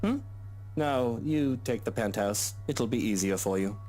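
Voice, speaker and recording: A man voicing a sulky, aloof husband in a game, speaking in a flat, withdrawn way. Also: medium pitch, unhurried, soft background music, lightly acted. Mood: sullen.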